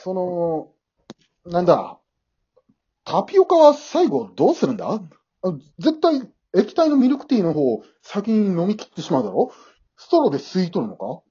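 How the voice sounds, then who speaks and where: Middle-aged dad, played by a man, remorseful, hesitant, flustered; mellow, animated pitch, for amateur radio drama. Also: moderate pace, slightly rough, dull audio, full character voice. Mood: babbling, curious. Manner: hesitant, stammering, easily flustered. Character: awkward, remorseful middle-aged father seeking his family back.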